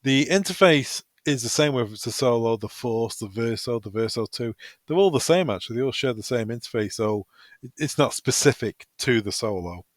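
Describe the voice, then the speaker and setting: A man's voice: mid-range voice, animated, a little fast, no room sound, studio quality. A man presenting a step-by-step technical walkthrough in an informal, practical, instructional way. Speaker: product tutorial presenter. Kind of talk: step-by-step software walkthrough, semi-improvised.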